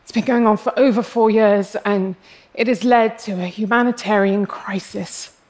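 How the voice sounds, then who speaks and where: A woman's voice: medium pitch, steady tone, normal speed, darkish tone. A young English woman with an English accent giving a prepared public talk, passionate and wry with self-deprecating humor. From England.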